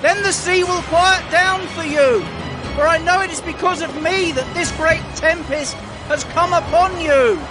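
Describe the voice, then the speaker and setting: An actor playing an anguished, devout Hebrew prophet in a dramatized audio Bible, with a solemn, declamatory delivery. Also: male voice, very high-pitched, fairly even intonation, unhurried, over music. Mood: urgent resignation.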